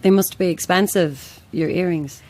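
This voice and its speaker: Female spiritualist played by an actress, audio drama. Lowish voice, brisk, blunt and a little flippant. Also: darkish tone, lightly acted. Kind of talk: naturalistic audio drama acting.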